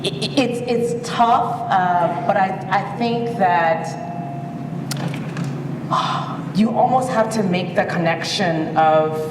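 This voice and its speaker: A woman's voice: medium pitch, slow pace, pauses often. Thoughtful American-accented woman panelist. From Liberia. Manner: thoughtful, hesitant, many fillers. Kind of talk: panel discussion answer. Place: conference hall. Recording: echoey hall from far off, background noise.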